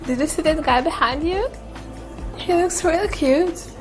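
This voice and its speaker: A woman's voice: very high voice, measured pace. A young woman acting a supportive, chatty friend in a naturalistic game scene. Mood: conspiratorial. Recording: music playing behind.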